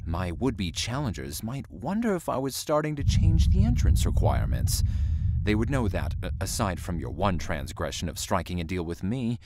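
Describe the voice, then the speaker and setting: An actor playing the devil disguised as a polite salesman, with a formal, measured delivery, proud and prone to weary sighs. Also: sits low, sing-song, heavy background noise. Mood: haughty. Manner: haughty and indignant, sighs with weary patience. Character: suave scheming male devil, proud and theatrical. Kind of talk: audio drama acting.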